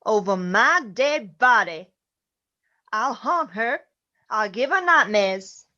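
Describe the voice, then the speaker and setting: A woman's voice: on the high side, animated, takes it slowly, pushed and bright, dull audio, slight background noise, full character voice. A woman playing a feisty, vengeful ghost grandmother in an amateur radio drama. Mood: nosy, scornful. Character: feisty ghost grandmother, vengeful, nosy, haunting her old house.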